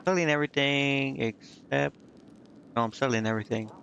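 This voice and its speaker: A man's voice: mid-range voice, normal speed, vocal fry, a bit bright, muffled recording, a little hiss or hum. A man streaming a video game, thinking aloud in a casual, offhand and indecisive way. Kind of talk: casual live gameplay commentary, thinking aloud.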